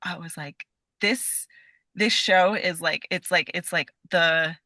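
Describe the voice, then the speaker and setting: A woman's voice: lowish voice, slow, sharp tone, no echo, clean studio sound. A woman being interviewed as a guest, chatting casually in a scattered, enthusiastic way and peppering her sentences with "like". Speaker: guest, voice actor.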